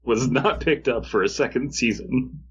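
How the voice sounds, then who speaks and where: A man's voice: medium pitch, moderate pace, fairly bright tone, a little hiss or hum. A man co-hosting a comedy podcast, bantering casually and reading posts aloud with sardonic commentary. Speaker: podcast host. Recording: dull lo-fi microphone sound.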